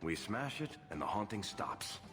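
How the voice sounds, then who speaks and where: Wry roguish dwarf dealer, low voice, casual, fantasy game, music and long reverb. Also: male voice, very animated, moderate pace. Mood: wry, uneasy. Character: roguish, easygoing middle-aged male dwarf storyteller and wheeler-dealer. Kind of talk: cinematic fantasy video game acting.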